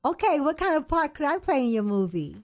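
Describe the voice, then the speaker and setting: A woman's voice: high voice, sing-song, brisk, no room sound, clean studio sound. A woman born in Harlem and raised in Brooklyn, co-hosting a talk radio show and chatting in a casual, chatty way. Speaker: radio co-host. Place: radio studio.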